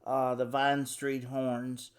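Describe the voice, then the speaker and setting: A man's voice: mid-range voice, completely flat delivery, takes it slowly. A man hosting an interview, talking eagerly in a casual way and often repeating words. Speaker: show host, interviewer.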